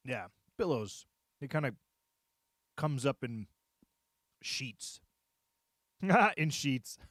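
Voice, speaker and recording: A man on a casual livestream, thinking aloud haltingly as he drafts a script, muttering and repeating his phrases. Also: medium pitch, animated, a bit bright, dry recording, studio quality. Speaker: streamer writing a visual novel.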